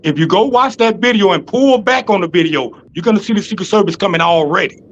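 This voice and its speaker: A man from the American South with a Southern accent, arguing loudly and insistently as a panel guest, swearing and talking over others. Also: high-pitched, fast, muffled recording, slight background noise. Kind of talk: heated panel argument.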